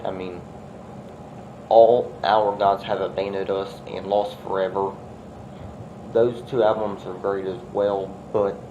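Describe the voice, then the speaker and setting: Low, flat-voiced man with a stuffy nose. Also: dull audio. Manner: earnest, rambling and repetitive. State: congested from a winter cold. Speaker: album reviewer. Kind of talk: casual music review vlog. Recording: steady background noise.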